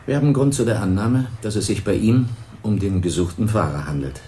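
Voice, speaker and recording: Radio crime play: actor doing a deep, formal detective, slow official questioning, faint hiss behind. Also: male voice, animated, warm tone, close to an everyday voice. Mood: calm. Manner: measured, official, probing. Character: calm, formal male police investigator. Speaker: police detective. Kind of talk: 1980s radio crime drama.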